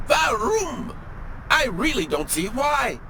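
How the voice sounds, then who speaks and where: High-voiced, fussy automaton train driver. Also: male voice, big pitch swings, takes it slowly, crowd noise, full character voice. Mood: puzzled. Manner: prim, precise, stiffly formal diction. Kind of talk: video game voice acting.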